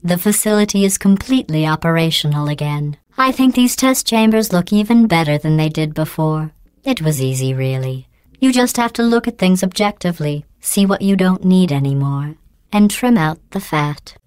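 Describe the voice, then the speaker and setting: Smug robotic lady ai running tests, low and silky, dead dry studio sound. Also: no echo. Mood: mocking. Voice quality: smooth, deadpan, condescending. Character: cold sarcastic female AI running test facility. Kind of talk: video game voice clips.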